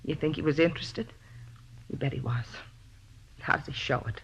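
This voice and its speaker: A woman's voice: sits low, moderate pace, slightly rough, exaggerated voice. A middle-aged faded female star, possessive and bitter. She pleads and justifies herself in the heightened style of 1950s radio drama. The recording is dull, vintage lo-fi broadcast sound.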